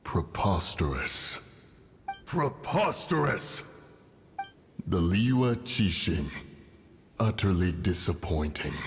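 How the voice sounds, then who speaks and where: A man's voice: medium pitch, fairly bright tone. A man voicing an ancient, stately adeptus beast in a video game, haughty and stern, with pompous archaic speech. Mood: indignant. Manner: pompous, archaic, formal phrasing. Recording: long echoing reverb, music behind.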